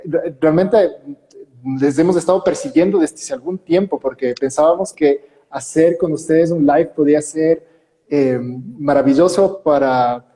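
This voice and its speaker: A man's voice: on the high side, measured pace, dark tone. A man, a priest, hosting an interview and asking questions in a friendly, enthusiastic way. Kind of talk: conversational interview. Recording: echoey room with long reverb.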